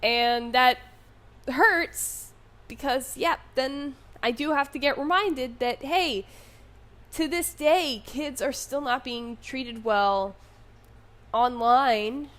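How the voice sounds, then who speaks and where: A young adult woman with a high, youthful voice that can pass for a child's, telling a personal story in a candid, conversational way with wry asides. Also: slow pace, fairly bright tone. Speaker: online content creator telling their own story. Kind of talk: casual personal storytelling monologue.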